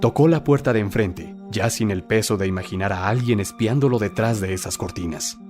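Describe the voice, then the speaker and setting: A man's voice: lowish voice, fairly quick, over music. A man narrating a scripted horror story in a theatrical, suspenseful storytelling manner.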